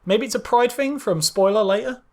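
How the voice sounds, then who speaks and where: A man's voice: high-pitched, a little fast, darkish tone. A man chatting on a casual film podcast. He rambles loosely, agrees often and fills the gaps with lots of fillers. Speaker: podcast co-host. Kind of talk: casual film review chat.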